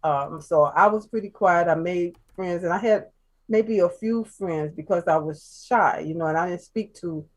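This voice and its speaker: A woman from Chicago, a guest telling her life story in casual chat, soft-spoken and halting, with many fillers and restarts. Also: lowish voice. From Chicago, USA.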